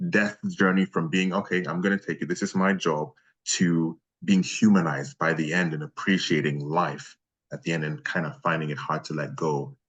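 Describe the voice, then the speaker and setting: A man's voice: a bass voice, steady tone, normal speed, fairly bright tone, slightly rough. A man talking casually as the guest in an interview, a voice actor by trade. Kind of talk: casual chat.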